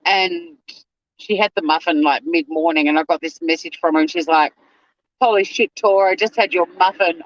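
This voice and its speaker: An Australian man hosting a casual livestream, chatty and animated, swearing freely, with a slightly whiny voice. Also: high voice, no echo, highs cut off. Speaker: livestream host. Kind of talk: casual livestream chat to viewers.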